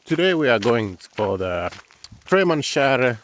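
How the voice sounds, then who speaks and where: A man with a Finnish accent, Finnish as his first language, narrating a casual walk outdoors in halting English with wry, self-deprecating asides, recorded on a handheld camera. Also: mid-range voice, very animated, a bit bright. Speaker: vlogger walking his dogs. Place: outdoors on a boardwalk through a swamp. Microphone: handheld camera mic.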